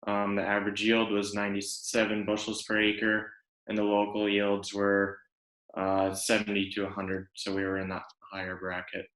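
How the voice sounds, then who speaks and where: A young man with a Western Canadian accent giving an informal student presentation in a halting, hesitant way. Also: lowish voice, completely flat delivery, fairly bright tone. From Alberta, Canada. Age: young adult. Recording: echoey room sound.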